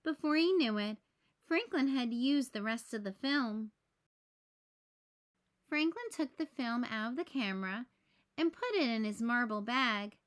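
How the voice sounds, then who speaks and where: A woman narrates a children's storybook in a fairly high voice. She takes it very slowly, with measured, clearly phrased storytelling meant for young listeners. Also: animated. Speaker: teacher reading aloud.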